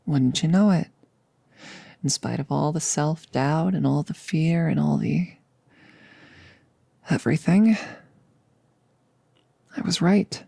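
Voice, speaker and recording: A performer playing a nervous, joking girlfriend in an intimate ASMR audio roleplay, speaking haltingly and confessionally, with lapses into whispering. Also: medium pitch, vocal fry, warm tone, no room sound. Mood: earnest, relieved. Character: nervous, joking girlfriend confiding before becoming a vampire.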